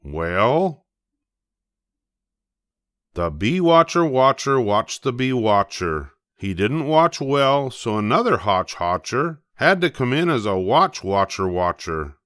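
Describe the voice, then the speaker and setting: An older man reading a rhyming children's storybook aloud, expressively, with playful emphasis and drawn-out words. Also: mid-range voice, very animated, fairly bright tone, no room sound, clean studio sound. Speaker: storybook reader.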